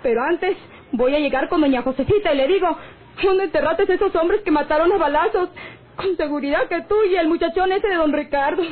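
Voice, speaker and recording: An actress playing an aggrieved, sharp-tongued peasant wife with a rural northern Mexican accent and plaintive folksy speech in an old radio drama. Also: female voice, very high voice, brisk. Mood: hurt, threatening. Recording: tinny, band-limited old radio serial.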